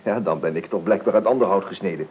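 An actor playing a nervous, evasive, eccentric gentleman in a radio play, stammering and repeating himself with ironic courtesy. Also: deep voice, fast, lightly acted. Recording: tinny, band-limited old radio recording with noise.

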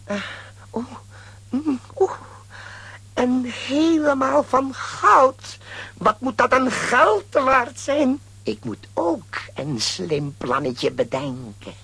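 Slow-scheming high-voiced male villain. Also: very animated, vocal fry, sharp tone, breathy edge, no echo, exaggerated voice. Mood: greedy, scheming. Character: scheming greedy male villain, smooth-talking and gold-hungry. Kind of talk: children's audio play acting.

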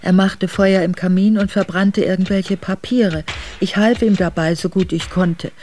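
An actress playing an aristocratic middle-aged Russian woman quietly recounting her memoirs to her son in a radio drama. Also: medium pitch, fairly even intonation, normal speed, soft background music, natural voice. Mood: troubled recollection. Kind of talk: intimate radio drama narration.

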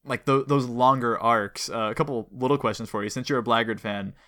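A man with a very bright, forward voice at a medium pitch hosts a casual interview between fans. He talks eagerly and at length, often backing up to rephrase his questions. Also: fairly even intonation, brisk. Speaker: host interviewing a guest; audio drama producer and writer. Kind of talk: casual enthusiastic fan conversation.

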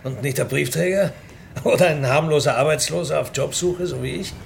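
A man's voice: on the high side, a little fast, gravelly, a little hiss or hum. An actor playing a polite, timid middle-aged male clerk looking for work in a radio play, courteous, deferential and a little hesitant. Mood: deferential, puzzled. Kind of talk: radio drama acting.

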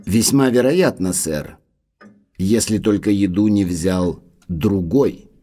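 Low, mellow, anxious butler. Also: male voice, slow pace. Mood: guarded, deferential. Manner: respectful, grave, uneasy. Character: deferential, anxious male manor butler, full of foreboding. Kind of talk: audio drama dialogue.

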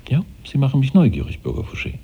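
An actor playing a commanding French revolutionary ruler who gives measured, lordly orders in a German radio play. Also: male voice, medium pitch, wildly swooping pitch, vocal fry, breathy edge, lo-fi sound, full character voice. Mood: lordly, unconcerned. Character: Barras, smooth-talking, commanding French revolutionary head of state.

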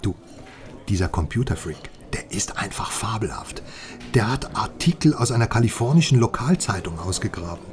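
Man playing a low-voiced, chatty, eager sidekick. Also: lively intonation, normal speed, lightly acted. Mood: eager, gossipy. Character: chatty, eager male sidekick proud of his research. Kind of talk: colloquial radio crime drama dialogue.

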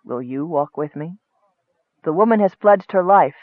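A man voicing a frail, sickly, bitter dark wizard in a fantasy audiobook, softly rasping and mocking, on a muffled recording. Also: a little high, lively intonation, dry recording, lightly acted. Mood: soft, ominous. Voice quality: soft, rasping, breathy. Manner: mocking, cryptic, measured.

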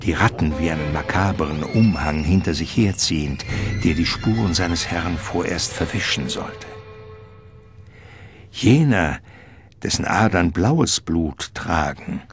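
An actor playing the ominous, dramatic narrator of a gothic radio drama. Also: male voice, low voice. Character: ominous male storyteller of a gothic vampire tale. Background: music.

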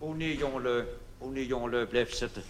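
A man's voice: medium pitch, brisk, lightly acted. A man performing a comic stage monologue as an old-fashioned elderly churchgoer who rambles hesitantly and chattily about his youth to the youngsters. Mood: stubborn, grumbling. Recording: reverberant hall with faint background noise.

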